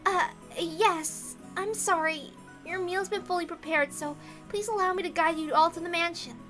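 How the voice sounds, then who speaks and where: A woman voicing a shy, dutiful young female servant, polite, quiet and apologetic, in a visual novel read-aloud. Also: very high voice, normal speed, soft background music, voice pushed up.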